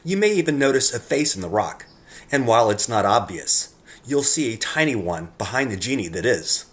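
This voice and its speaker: A man's voice: medium pitch, very animated, normal speed. Earnest male narrator addressing the viewer. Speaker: narrator presenting own photographs. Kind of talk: scripted narration.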